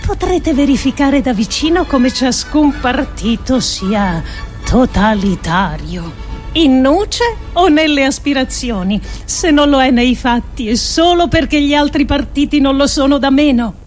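Earnest female philosopher for radio drama: fairly high voice, slow declamatory delivery, weighty pauses, grave conviction. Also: animated, faint music behind. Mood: urgent, exhorting. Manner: deliberate, declamatory, with weighty pauses between phrases. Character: earnest, grave woman thinker delivering a political monologue. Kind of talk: theatrical radio monologue of philosophical prose.

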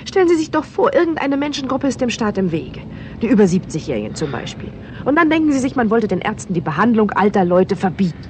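An actress playing an earnest young doctor's daughter with clear, animated delivery in a 1960s German radio drama. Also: female voice, mid-range voice, quick pace, close to an everyday voice. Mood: urgent, indignant. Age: young adult. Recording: reverberant, noisy vintage radio recording.

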